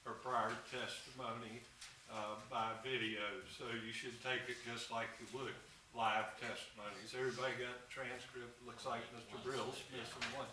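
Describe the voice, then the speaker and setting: A man addresses a jury as judge, folksy and even-toned. He is picked up from a distance in an echoey courtroom. Steady noise hangs under the voice. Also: mid-range voice, normal speed. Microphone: far room mic.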